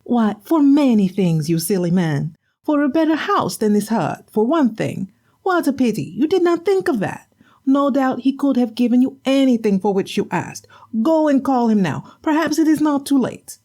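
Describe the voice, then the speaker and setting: Woman putting on a shrill greedy fisherman's wife. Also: big pitch swings, moderate pace, mellow. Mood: demanding. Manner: shrill, scolding, insistent.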